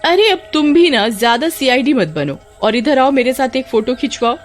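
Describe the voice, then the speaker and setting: A woman playing a teasing, easily frightened young village woman in animated horror story dialogue, exclamatory and dramatic. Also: high-pitched, moderate pace, faint music behind, voice pushed up. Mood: coy, teasing.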